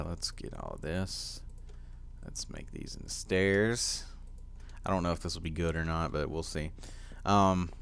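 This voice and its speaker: A teenage boy with a deep voice giving casual gameplay commentary, rambling with lots of fillers and easy laughs. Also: gravelly, a bit bright, smooth. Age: teens. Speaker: gaming commentator.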